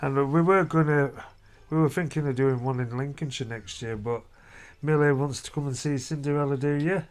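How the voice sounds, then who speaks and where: Chatty dad in a hotel room. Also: male voice, mid-range voice, a little fast, hardly any pauses. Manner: chatty, rambling, down-to-earth. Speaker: vlogger, father. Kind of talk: casual family chat.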